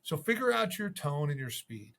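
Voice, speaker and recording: A man's voice: on the high side, big pitch swings, moderate pace, gravelly, a bit bright. A man giving instructional sales tips as a coach, in a conversational manner. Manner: conversational, acts out sample calls. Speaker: real estate sales coach.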